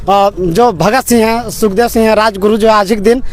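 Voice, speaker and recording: Casting: young male student protester, Jharkhand accent, very high-pitched voice, impassioned and pleading delivery. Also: fairly even intonation, a little fast. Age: young adult. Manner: aggrieved, pleading. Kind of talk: impassioned street interview.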